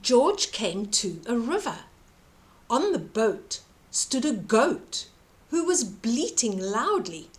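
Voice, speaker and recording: Older lady reading kids a story, high voice, slow and super expressive. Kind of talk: expressive children's bedtime story narration.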